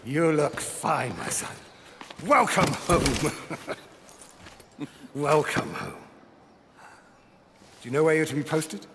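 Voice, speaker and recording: An actor playing a middle-aged Roman father in a video game, gentle, grave and measured. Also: a little high, lively intonation, in a large hall, noisy background. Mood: fond. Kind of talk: dramatic video game acting.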